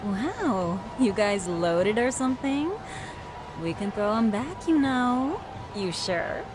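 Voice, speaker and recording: Playful, unhurried nightlife hostess. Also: female voice, mid-range voice, expressive, background noise. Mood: teasing, flirty. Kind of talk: English video game dub acting.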